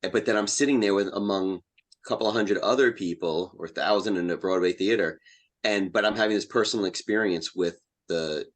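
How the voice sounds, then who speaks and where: A man's voice: lowish voice, brisk. A man speaking as an interview guest in a casual, rambling and enthusiastic way. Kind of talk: casual chat.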